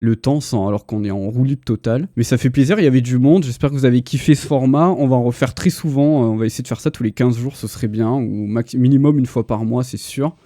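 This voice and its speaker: A man's voice: mid-range voice, quick pace, hardly any pauses, mellow, dry recording, clean studio sound. A man, hosting a casual, slangy pop culture livestream chat with enthusiasm. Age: 30s. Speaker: host. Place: indoor livestream.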